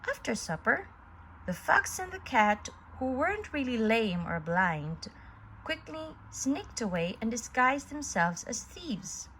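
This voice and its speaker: A woman reading a children's story aloud in an expressive, deliberate storyteller's manner. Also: on the high side, a little hiss or hum, rough recording.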